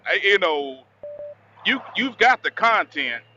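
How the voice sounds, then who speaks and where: A man's voice: high-pitched, very animated, slow pace, sharp tone. A man with a Southern American accent, hosting a casual interview in a folksy, colloquial way over a helmet headset. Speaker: host, motorcycle vlogger. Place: riding a motorcycle outdoors. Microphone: motorcycle helmet headset. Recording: dull headset audio with vehicle noise.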